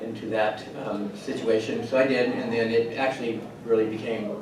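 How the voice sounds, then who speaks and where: A man in his fifties speaks haltingly, stopping and restarting often as he tells his story on a conference stage. His voice sits at an ordinary middle pitch. It rings through a very echoey hall, picked up from some distance, with steady room noise behind. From California, USA. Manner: halting, full of restarts and pauses. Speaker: resident steward, conference speaker. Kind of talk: personal story at a conference panel.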